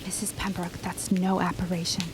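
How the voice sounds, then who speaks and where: A woman's voice: lowish voice, completely flat delivery, normal speed, background noise, natural voice. A woman playing an eager, inquisitive and loyal neighbour in a period audio drama, with earnest, old-fashioned phrasing. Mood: intrigued, hushed.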